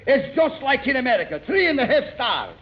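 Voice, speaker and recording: A man's voice: very high-pitched, very animated, fairly quick. A man playing a grandiose Russian waiter who fancies himself a great actor, with a Russian accent, in 1940s radio comedy style. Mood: grandly boastful. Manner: grandiose, self-important. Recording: dull, noisy 1940s radio recording.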